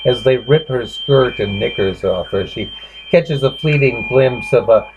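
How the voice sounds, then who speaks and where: A man giving a grave, halting dramatic reading of literary fiction as its narrator. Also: mid-range voice. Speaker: narrator reading a short story. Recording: dull lo-fi recording with clear background noise.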